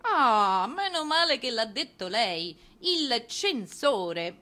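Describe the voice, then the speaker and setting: Animated, ironic woman narrator. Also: fairly high pitch, slow. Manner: ironic, emphatic. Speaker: narrator and presenter. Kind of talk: theatrical scripted narration.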